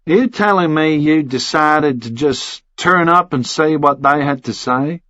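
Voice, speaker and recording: A man voicing a cold, formal Texan official in an audiobook, measured, condescending and threatening. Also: fairly high pitch, fairly bright tone, no room sound, muffled recording, voice pushed up.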